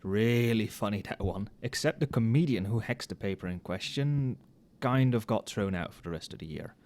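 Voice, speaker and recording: Soft-spoken, low-voiced tipsy professor played by a man. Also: expressive, normal speed, close to an everyday voice. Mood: musing, tipsy. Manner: muttering, musing, dry wry asides. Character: tipsy, overworked male magic professor grading late at night. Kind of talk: soft-spoken casual ASMR roleplay.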